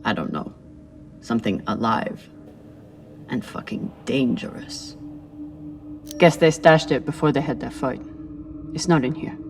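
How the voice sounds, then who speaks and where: A woman's voice: a bass voice, expressive, a little slow, long pauses, darkish tone, soft background music, heavily acted. A woman playing a hardened, bitter space-crew leader in a video game, with a terse, grim delivery. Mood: grim, guarded. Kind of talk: dramatic video game acting.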